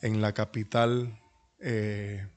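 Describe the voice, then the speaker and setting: A middle-aged man, speaking as a pastor in a humble, courteous and deliberate way to an online class. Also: on the low side, little pitch movement. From Dominican Republic and New York. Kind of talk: introductory talk at an online church leadership class. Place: online video call.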